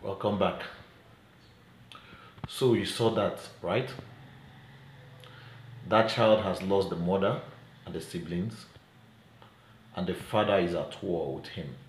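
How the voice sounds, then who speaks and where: A middle-aged man with a Nigerian accent delivers an earnest appeal in a low voice. His phrasing is deliberate and emphatic. The phone recording sounds roomy, with faint noise behind it. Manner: deliberate, emphatic, rhetorical questions. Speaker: child welfare advocate and presenter. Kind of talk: earnest advocacy vlog to camera. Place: small indoor room at home. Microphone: phone at arm's length.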